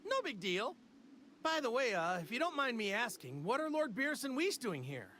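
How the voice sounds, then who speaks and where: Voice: mid-range voice, big pitch swings, normal speed, pushed and bright, a little hiss or hum. An actor playing a cheerful, naive martial-arts hero in an anime video game, folksy, boyish and eager. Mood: sheepish, casual. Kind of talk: English anime game dub acting. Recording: music behind.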